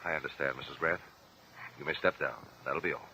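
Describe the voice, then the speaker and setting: A man's voice: rumbling low, steady tone, a little fast, bright, forceful tone, exaggerated voice. An American man playing a courteous, probing attorney who questions witnesses formally in a mid-century radio drama. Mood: formal, composed. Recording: dull, lo-fi old radio recording.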